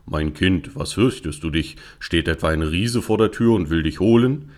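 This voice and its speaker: A man voicing a stern but fatherly king in a fairy tale, speaking in a firm, measured way. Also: low voice, a little mellow, natural voice. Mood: concerned. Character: stern fatherly king, middle-aged, principled. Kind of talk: fairy-tale storybook character reading.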